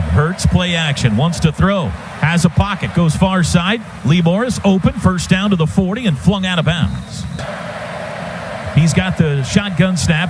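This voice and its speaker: A man doing live radio play-by-play of a football game in urgent, clipped present-tense phrases. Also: high voice. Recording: noisy live stadium radio broadcast.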